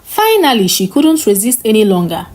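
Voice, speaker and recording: A woman with a fairly high voice tells a scripted folktale. Her intonation swings in a lively, dramatic way. Faint music plays behind her. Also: moderate pace. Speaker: storyteller narrator.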